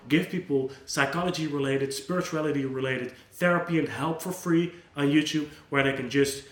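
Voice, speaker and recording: A man whose first language is Dutch, a psychologist who hosts his own channel. He talks to camera without a script, earnest and gentle, and restarts his sentences often. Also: on the high side, reverberant. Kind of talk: unscripted talk to camera.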